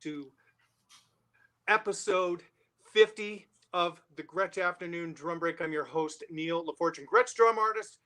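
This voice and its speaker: A man hosting a live interview show, speaking in an excited, effusive manner. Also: fairly high pitch, measured pace. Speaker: show host.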